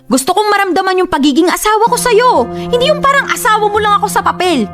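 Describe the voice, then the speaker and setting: An actress with a Filipino accent playing a frustrated young housewife who longs for independence, in a Tagalog radio drama. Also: female voice, very high-pitched, expressive, fast. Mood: upset, pleading. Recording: music under the voice.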